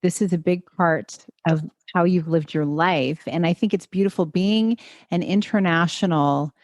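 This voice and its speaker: A woman's voice: lowish voice, a little mellow, no room sound, studio quality. A woman hosting a wellness podcast, reading her intro and asking interview questions in an upbeat, encouraging way. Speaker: podcast host and health coach.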